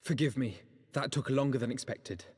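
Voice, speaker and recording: An actor playing a weary, reflective male adventurer in a video game, husky and sombre, with measured delivery. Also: medium pitch, a little mellow, big-room sound, natural voice. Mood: wistful, sombre. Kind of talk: dramatic game voice acting.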